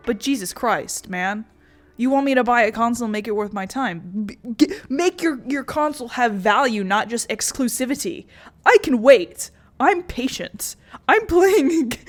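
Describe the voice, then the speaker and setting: A young adult woman giving casual, opinionated commentary as she streams a game, blunt, exasperated and quick to swear. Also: on the high side. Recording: game music under the voice. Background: music.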